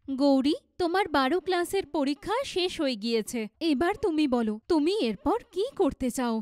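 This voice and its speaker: High-voiced, reassuring cartoon elder sister, acted by a woman. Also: animated, moderate pace, darkish tone, dry recording, studio quality. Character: supportive, responsible adult elder sister. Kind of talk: dramatized children's moral story.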